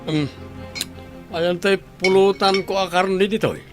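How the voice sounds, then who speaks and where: A man's voice: sits high, fairly even intonation, measured pace, music in the background. A man playing a cocky, hard-drinking husband in a radio drama, boastful and teasing. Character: cocky, hard-drinking, carefree husband who brushes off scolding. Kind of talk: radio drama acting in Ilocano.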